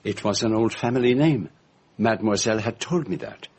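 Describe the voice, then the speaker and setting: An actor playing a fussy, shrewd foreign gentleman detective, speaking in precise, formal phrases in a radio drama. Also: on the low side, expressive, a little fast, slightly rough. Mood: dramatic deduction. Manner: precise, theatrical, formal phrasing with French forms of address.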